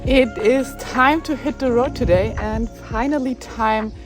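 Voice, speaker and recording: A woman's voice: a little high, unhurried. A woman who is not a native English speaker, vlogging an enthusiastic road trip in a chatty voice-over. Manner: chatty and enthusiastic, slightly non-native phrasing. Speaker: travel vlogger. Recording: guitar music playing underneath.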